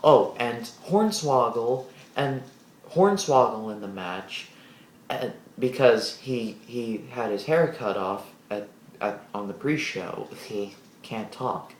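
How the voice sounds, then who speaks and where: A man giving a casual solo wrestling show review, rambling with restarts and animated asides in a deep voice. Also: slow pace, reverberant, slight background noise, low-quality recording.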